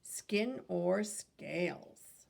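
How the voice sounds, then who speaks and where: A woman reading aloud to children like a teacher, in an instructive manner, pausing to pose questions to young listeners. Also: fairly low pitch, lively intonation, gravelly, a little mellow.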